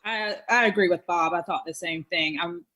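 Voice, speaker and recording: A woman's voice: lowish voice, moderate pace, bright, forceful tone, slight background noise. A woman serving as a commission member, deliberating and stating motions in a formal meeting.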